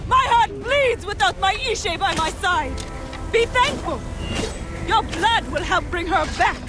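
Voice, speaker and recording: A woman's voice: very high voice, expressive, unhurried. A woman playing an anguished, fanatical mother in a theatrical video game cutscene, desperate and pleading. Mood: fanatical fury. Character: grief-crazed mother, fanatical villain desperate to revive her daughter. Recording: echoey long reverb under music.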